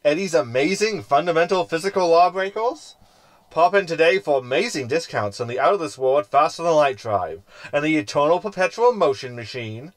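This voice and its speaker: An actor playing a scheming, sarcastic hologram in a sci-fi comedy audio drama, with an arch, wordy manner. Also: male voice, on the high side, animated, normal speed, no echo, lightly acted. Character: scheming, sarcastic male hologram crewman, self-satisfied. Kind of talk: science fiction comedy audio drama acting.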